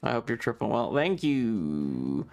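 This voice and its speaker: A man chats casually to his livestream audience at an unhurried pace. His mid-pitched voice often drops into a creaky fry. He rambles playfully, joking with mock indignation, while faint music plays behind him. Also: big pitch swings, a little mellow. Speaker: live streamer and host. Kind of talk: casual livestream chat with viewers.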